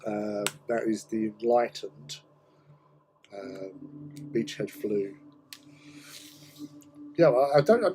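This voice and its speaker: A man with a hoarse, croaky voice from a cold, chatting casually and rambling with many pauses while hosting a hobby stream. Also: lowish voice, lively intonation, mellow, a little hiss or hum, rough recording. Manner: rambling, hesitant, many ums. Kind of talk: casual chat while painting.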